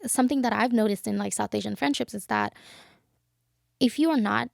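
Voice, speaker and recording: Gravelly young woman, reflective podcast chat. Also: a little high, brisk, no room sound, clean studio sound. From Bangladesh. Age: young adult. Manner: reflective, many fillers like "you know". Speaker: podcast co-host. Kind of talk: casual chat.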